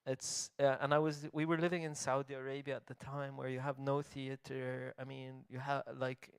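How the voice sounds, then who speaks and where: Young guy, writer, chatting casually, smooth clean voice, kinda even and steady, dry sound. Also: medium pitch, moderate pace, no echo. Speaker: writer and translator. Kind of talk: casual conversation.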